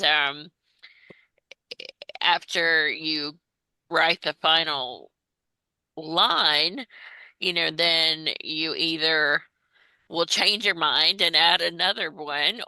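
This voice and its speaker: A woman interviewing in a casual conversational style, speaking in a halting, deliberate way. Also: sits low, bright, forceful tone, no room sound, low-quality recording.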